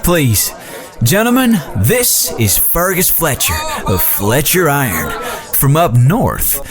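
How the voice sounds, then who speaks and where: Courtly frontier tycoon played by a man, oily graciousness, formal phrasing, fairly high animated voice, faint music underneath. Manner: unctuous, formal, drawn-out. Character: genteel, smooth-talking male western businessman, falsely gracious. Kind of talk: western audio drama acting.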